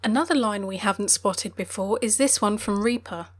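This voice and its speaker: A woman's voice: mid-range voice, moderate pace. A woman reading scripted explainer narration as the presenter of a game lore video.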